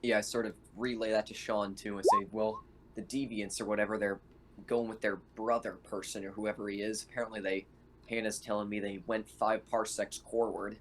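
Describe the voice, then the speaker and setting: A man playing a tabletop roleplaying game, talking and narrating in a halting, conversational way. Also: on the low side, flat delivery. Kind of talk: casual in-character roleplay and narration. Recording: noisy room with keyboard clicks.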